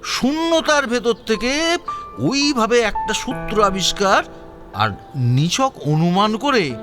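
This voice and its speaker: A man playing an amazed, grateful senior police chief in an audio drama, speaking emphatically and haltingly. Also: high voice, very animated, over music, heavily acted. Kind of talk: dramatised audio story acting.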